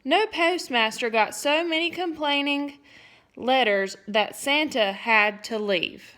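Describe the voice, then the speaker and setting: A woman teacher reads a storybook aloud to young listeners, her voice bright and fairly high. She is expressive throughout and drops in playful asides as she goes. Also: takes it slowly. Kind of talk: storybook read-aloud for children.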